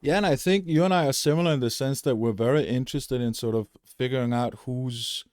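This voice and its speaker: A man's voice: mid-range voice, a little fast, darkish tone, no echo, studio quality. A man hosting a podcast, chatting reflectively and casually with frequent fillers. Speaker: podcast host. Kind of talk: casual conversational podcast chat.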